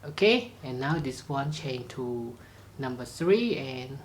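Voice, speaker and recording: A presenter giving a step-by-step product demonstration in simple, halting sentences with non-native grammar. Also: medium pitch, lively intonation, slight background noise. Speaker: tutorial presenter.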